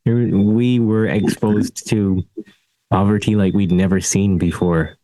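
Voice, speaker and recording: Host: man with a low voice, chatty storyteller, dry close recording. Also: steady tone, measured pace, mellow, no room sound, low-quality recording. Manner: chatty, laughs through stories. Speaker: podcast host. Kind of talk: casual interview conversation.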